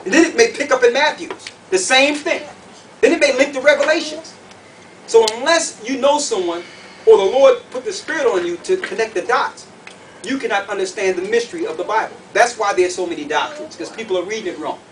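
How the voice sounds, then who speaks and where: High-pitched man preaching, from afar. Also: a little fast, a bit bright, far from the mic. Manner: colloquial, emphatic, repeats questions rhetorically. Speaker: preacher teaching a group. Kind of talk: animated sermon with questions to the audience. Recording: noisy room, picked up from afar.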